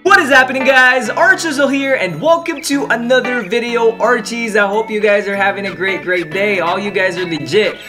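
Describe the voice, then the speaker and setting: A man's voice: high voice, moderate pace, hardly any pauses, over music. A young man from the Philippines chatting casually to camera in an upbeat vlog, peppered with "like" and "dude". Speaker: YouTuber.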